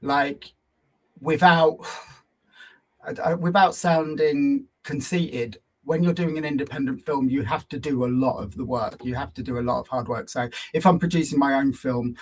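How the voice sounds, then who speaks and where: A man's voice: medium pitch, steady tone, a little slow. A man in his forties giving reflective, self-deprecating answers as a guest in a conversational interview. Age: 40s. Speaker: guest, actor and filmmaker.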